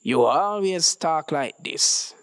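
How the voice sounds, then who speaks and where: A man voicing a curious, excitable young schoolboy who questions and exclaims in a story reading. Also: a little high, slow, clean tone, no room sound, studio quality, pitched above the performer's own voice. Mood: protesting.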